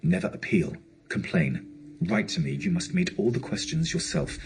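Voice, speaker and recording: Self-absorbed gentleman, low male voice, halting, apologetic, evasive, period audio drama, noticeable background noise. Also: big pitch swings. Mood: insistent. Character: evasive, well-to-do bachelor gentleman, uncle of orphans.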